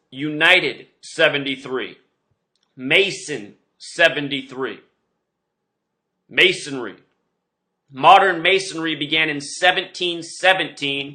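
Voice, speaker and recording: A man's voice: fairly high pitch, pushed and bright. A man hosting an online show, speaking in a rambling, insistent monologue with emphatic delivery. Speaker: online video host.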